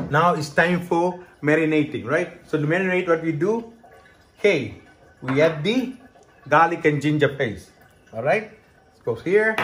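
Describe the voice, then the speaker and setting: A man in his fifties from Hong Kong talks through a home cooking demo in his own kitchen. He keeps checking in with the viewer as he goes, and his voice sits at an ordinary middle pitch. He is picked up by a camera held close by. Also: a little hiss or hum. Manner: chatty, explains step by step, many "okay" and "right" check-ins. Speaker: cooking show host. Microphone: camera close by, handheld.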